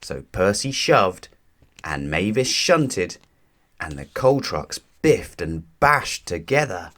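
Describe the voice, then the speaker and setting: A man narrating a children's storybook aloud in an expressive, deliberate storytelling manner. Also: sits low.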